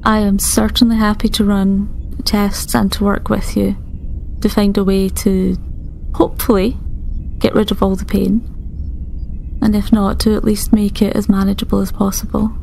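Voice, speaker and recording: A woman playing a tactful starship doctor in improvised tabletop roleplay, speaking in a measured, hesitant, gently clinical way. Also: mid-range voice, flat delivery, creaky, a little hiss or hum, lightly acted. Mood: reassuring, professional. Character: calm, tactful female starship doctor reassuring a patient.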